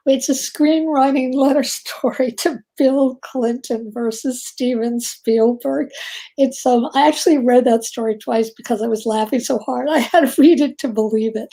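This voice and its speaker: A woman hosting an author interview, enthusiastic and quick to laugh. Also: fairly high pitch, vocal fry, warm tone. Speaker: interviewer host. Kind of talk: casual interview.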